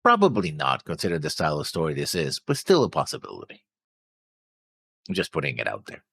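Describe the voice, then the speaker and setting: A man with a very low, gravelly and husky voice talks in a casual discussion. He wanders up and down in pitch as he floats hesitant theories. The sound is dry and close. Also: huge pitch swings, fast, a bit bright, no room sound. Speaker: anime reaction show co-host.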